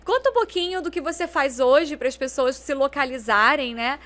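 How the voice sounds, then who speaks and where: A woman hosts an interview podcast, asking her guest questions in an even, measured way. Her voice sits high. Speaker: podcast host.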